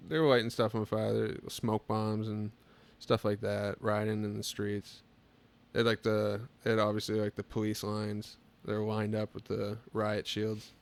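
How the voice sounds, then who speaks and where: Guest, male, 20s; lowish voice; laid-back storyteller, filler-heavy. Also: normal speed, gravelly. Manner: relaxed storytelling, lots of filler "like". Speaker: podcast guest. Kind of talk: casual chat.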